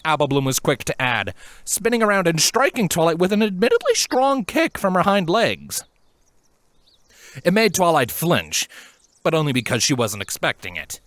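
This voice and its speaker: A man narrating a scripted story in an expressive, dramatic manner. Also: fairly high pitch, moderate pace, no echo. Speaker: fan fiction reader, narrator.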